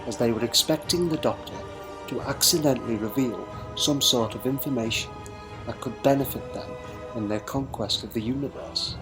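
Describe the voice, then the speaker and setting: A man's voice: mid-range voice, rough recording. A man narrating a scripted adventure story, reading it aloud in a dramatic manner.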